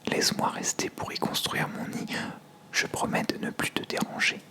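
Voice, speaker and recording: A storyteller voicing a small, polite and gentle songbird in a pleading whisper for an ASMR tale. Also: normal speed, lightly acted. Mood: apologetic, pleading.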